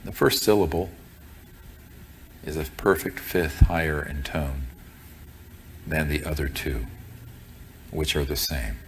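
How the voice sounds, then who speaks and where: Very deep, slow-spoken male meditation teacher. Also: a little hiss or hum. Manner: solemn, deliberate, measured phrasing. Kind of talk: guided meditation instruction to a group. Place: room with a group present.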